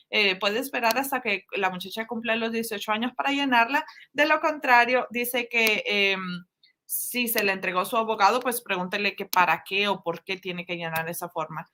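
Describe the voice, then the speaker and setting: A woman interpreting a lawyer's answers into Spanish on a live show, pausing with fillers. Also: fairly high pitch, sharp tone, a little hiss or hum. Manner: relays answers, repeats "dice la abogada".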